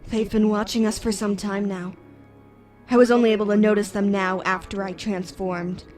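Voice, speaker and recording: A woman's voice: medium pitch, level tone, moderate pace, a little mellow, soft background music, lightly acted. A woman playing a solemn, defiant young female warrior in an anime fan drama, speaking in a stern, formal, commanding way. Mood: cool, grave.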